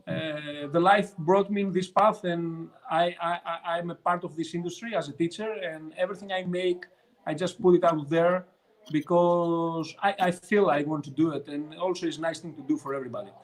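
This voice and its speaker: A man from Greece with a Greek accent and Greek as his first language, a craft teacher speaking as the guest in a casual chat, hesitant with many pauses and restarts. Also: a little high, fairly even intonation, rough recording.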